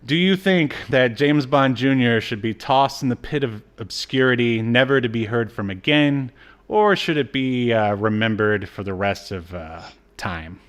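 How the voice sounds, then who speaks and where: A man talks as a podcast co-host in a casual review chat. His voice sits at a medium pitch, and he speaks in a halting, rambling way. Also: lively intonation, fairly bright tone.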